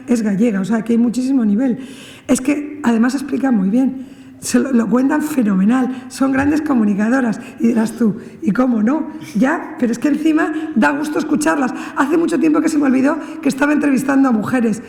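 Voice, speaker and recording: A woman's voice: a little high, creaky, warm tone. A woman giving an informal panel presentation in a chatty, digressive and emphatic way. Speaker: panel speaker from a science radio project. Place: indoor panel event hall. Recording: echoey hall with long reverb.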